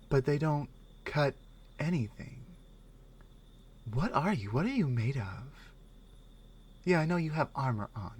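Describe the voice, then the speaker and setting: A woman playing a strong, wry, skeptical Nordic warrior companion in a soft, intimate ASMR roleplay, musing with pauses and murmured asides. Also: a bass voice, exaggerated voice. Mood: wry, curious.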